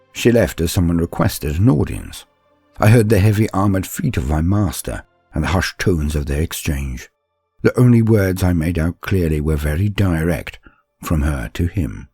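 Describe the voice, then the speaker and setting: A man as an earnest young armored warrior, low and husky. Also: a little mellow, no room sound, heavily acted. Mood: solemn, earnest. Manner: solemn, deliberate, formal diction. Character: earnest bulky young armored psyker apprentice, recounting his own tale. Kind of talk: dramatic first-person story narration.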